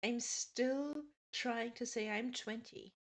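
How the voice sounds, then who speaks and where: A young woman in her twenties chats slowly and easily with her live stream audience, her voice sitting a touch high. She giggles often and answers viewers' messages one by one in a relaxed, talkative way. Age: 20s. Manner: chatty, giggly, reads chat aloud. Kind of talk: casual chat with stream viewers.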